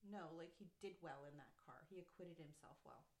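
A woman's voice: sits low, fairly quick, crowd noise. A woman co-hosting a casual sports podcast who steers the talk and teases her friends. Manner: wordy, steers the conversation, teasing. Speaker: podcast co-host. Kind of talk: casual chat. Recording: echoey room.

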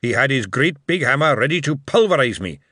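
A blunt old dwarf cobbler, as a man puts him on, speaks in a gruff, storytelling way. His voice swings up and down with excitement. The sound is close and dry. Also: medium pitch, brisk, dry recording. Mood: gruff reminiscing. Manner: gruff, telling a war story with rising excitement.

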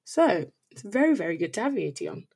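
A woman's voice: medium pitch, sing-song, fairly quick, creaky, darkish tone, slightly rough. A woman hosting a conversational podcast and interviewing a guest, with an effusive, appreciative manner.

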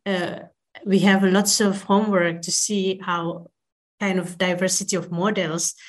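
Woman panel discussant, lowish voice, thoughtful, feeling her way through the point.

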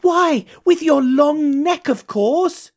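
Casting: little boy moth for a storybook, very high and lively, polite, earnest, eager played by a woman. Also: slow, full character voice. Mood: friendly, hopeful. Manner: polite, eager, breathless wonder. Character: small, earnest, hopeful young male moth. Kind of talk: children's storybook character voice.

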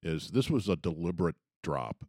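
A man's voice: deep voice, very animated, normal speed, darkish tone, slightly rough. A man co-hosting a podcast who lays out theories and brings up new topics in casual chat.

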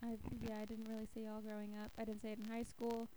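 A woman's voice: fairly high pitch, completely flat delivery, fast. A young woman from the southern United States talking casually as a podcast co-host. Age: young adult. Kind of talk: casual chat. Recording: noisy amateur podcast recording.

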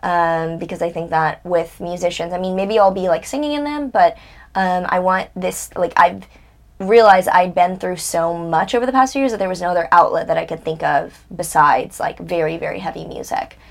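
A woman's voice: lowish voice, normal speed, creaky. A woman in her twenties, a guest in a casual interview, talking bluntly and candidly and swearing freely. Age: 20s.

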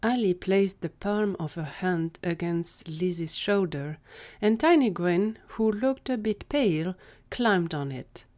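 Lower-pitched woman narrating a story. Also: measured pace, a little mellow.

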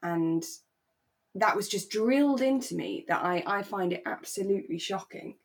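A woman's voice: mid-range voice, lively intonation, moderate pace. A British woman chatting informally as the co-host of a podcast. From England. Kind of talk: relaxed chat discussing a radio drama.

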